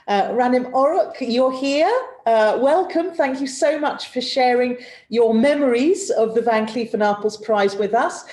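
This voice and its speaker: A woman's voice: on the high side, unhurried, a little mellow, slight background noise. A woman hosting an online design talk on a video call, linking segments with um and uh. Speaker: host of the webinar.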